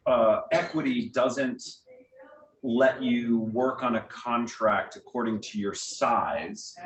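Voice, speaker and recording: An echoey, slightly distant recording of a man with a lowish voice. He answers questions informally as a guest speaker, explaining in a conversational way with some hesitant fillers. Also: a little hiss or hum. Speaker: theater artistic director, guest speaker. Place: meeting room with an audience, also on Zoom. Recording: echoey room, distant microphone.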